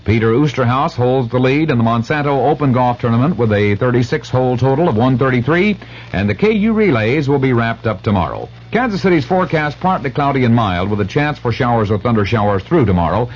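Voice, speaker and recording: Lively American male sports newsreader. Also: mid-range voice, brisk. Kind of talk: scripted 1970s radio sports report. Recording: muffled vintage radio.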